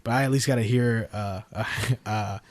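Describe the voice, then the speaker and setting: A man co-hosting a podcast in casual chat, blunt and sweary, halting and repeating himself. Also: on the low side, a little mellow. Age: adult. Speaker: podcast co-host.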